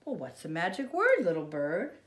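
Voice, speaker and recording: A woman's voice: lowish voice, wildly swooping pitch, moderate pace, roomy sound. A woman voicing bossy storybook farm animals, chiding emphatically and making exaggerated animal calls. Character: bossy farm animals scolding a silly little bird.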